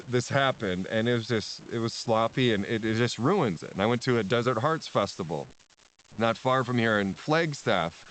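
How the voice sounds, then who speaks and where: A man's voice: mid-range voice, slight background noise, rough recording. A man, a spiritual teacher talking as a podcast guest in a rambling way, with many restarts and frequent laughs. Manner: rambling, repeats words, laughs often. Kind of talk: casual podcast conversation.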